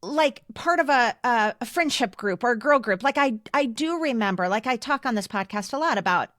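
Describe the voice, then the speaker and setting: A woman's voice: fairly high pitch, lively intonation, a little fast, a bit bright, no room sound. Woman, 50s, podcast co-host, raised register, casual chat, very dry recording. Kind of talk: casual conversational podcast talk.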